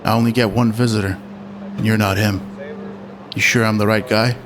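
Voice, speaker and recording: A man's voice: fairly low pitch, flat delivery, moderate pace, background noise, pitched above the performer's own voice, close to an everyday voice. A man voicing a massive, weathered middle-aged prisoner, blunt, guarded and impatient, in an audio drama.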